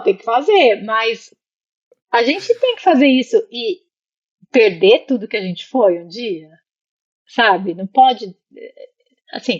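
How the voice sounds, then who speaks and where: Brazilian woman, expressive, on a dull recording. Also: medium pitch. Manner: expressive, acts out imagined remarks, seeks agreement with listeners. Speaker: interview guest, psychologist living in Italy. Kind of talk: casual, reflective interview talk.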